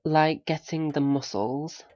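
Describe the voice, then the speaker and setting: A man's voice: fairly high pitch, slow, darkish tone, dull audio. A man playing a mild, doubtful listener in a naturalistic audio drama, answering in short, tentative, questioning replies. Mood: doubtful, agreeable.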